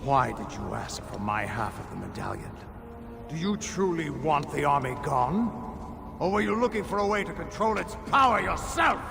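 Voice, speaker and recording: A proud, distrustful royal brother in a video game. The voice is gravelly and theatrical, full of imperious authority. It rings with long reverb, with music behind. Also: male voice, a little high, lively intonation, moderate pace. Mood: accusing, stern. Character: proud, suspicious prince, corrupted older brother. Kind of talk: video game cutscene acting.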